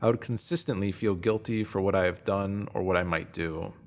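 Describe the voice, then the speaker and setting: Low-voiced man narrating earnestly. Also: fairly quick, a little mellow. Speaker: narrator reading a motivational written post. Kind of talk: scripted narration of self-help post.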